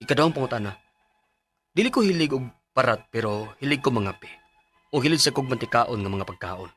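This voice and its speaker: An actor plays an anxious man who is a patient in a Bisaya radio drama, with a Cebuano accent. His voice is bright and forceful and moves up and down freely, with music softly behind it. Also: medium pitch, expressive, fairly quick, natural voice. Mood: troubled, pleading. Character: anxious male patient with high blood pressure, letter-sender. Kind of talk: Bisaya radio drama acting and letter narration.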